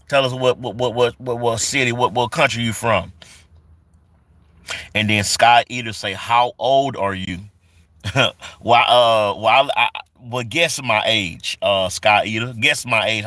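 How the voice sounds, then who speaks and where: A man in his forties talks on a live stream, reading comments and greeting viewers. His voice is bright and forceful, his delivery loose and repetitive with plenty of fillers. The sound is dry and close to the mic. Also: mid-range voice, no room sound. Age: 40s. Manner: reads chat comments aloud and greets viewers. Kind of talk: casual live stream chat.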